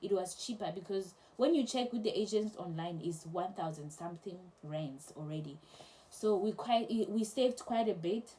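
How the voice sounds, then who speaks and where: A young woman casually telling a travel story to camera, chatty and animated, with many restarts. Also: mid-range voice, moderate pace, a little hiss or hum. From South Africa. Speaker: travel vlogger.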